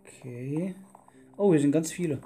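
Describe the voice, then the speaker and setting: A man's voice: a little high, normal speed, dark tone, a little hiss or hum. Easygoing man chatting over gameplay. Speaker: let's player, co-host. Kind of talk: casual gameplay commentary. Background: music.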